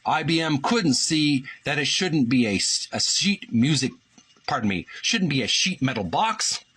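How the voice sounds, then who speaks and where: Raspy, animated man reading aloud. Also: fairly high pitch, measured pace. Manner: stumbles and corrects himself mid-sentence. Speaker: channel host reading a book aloud. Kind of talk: reading aloud from a book with commentary.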